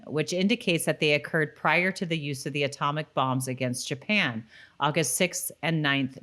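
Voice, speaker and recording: A woman's voice: low voice, a bit bright. A woman co-hosting a podcast, chatting casually and reading notes aloud in an informal, slightly stumbling way. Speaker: podcast co-host.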